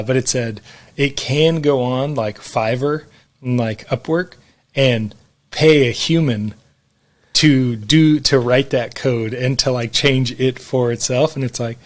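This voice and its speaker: A man with an average-pitched voice talks as a podcast co-host. He speaks informally, as if chatting with friends. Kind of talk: casual chat.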